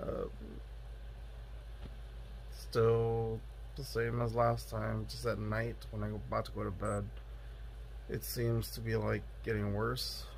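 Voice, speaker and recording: A young man with a hoarse, raspy voice, recording a casual video diary close to his phone camera in a bedroom. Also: fairly low pitch, completely flat delivery, slight background noise, low-quality recording. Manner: slow, subdued, many fillers. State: hoarse from illness. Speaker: vlogger. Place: bedroom indoors. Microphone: phone camera close to face.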